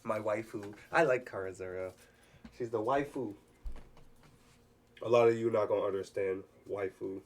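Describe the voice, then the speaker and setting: Male host, lowish voice, loose unscripted fan chat, thinks aloud and corrects himself. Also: moderate pace, pauses often. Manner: hesitant, self-correcting, informal. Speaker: host of a read-along reaction. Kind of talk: casual unscripted commentary.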